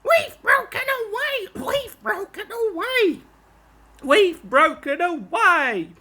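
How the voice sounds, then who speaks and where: A woman voicing a rowdy mob of runaway freight trucks, jeering and shouting in a storybook reading. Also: very high voice, big pitch swings, slow, voice pushed up, full character voice. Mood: gleeful.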